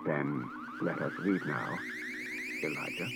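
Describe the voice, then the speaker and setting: A man voicing a calm, polite male robot who speaks in a quiet, measured, precise way. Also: a bass voice, background noise, voice pushed down, full character voice. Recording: dull lo-fi with music behind.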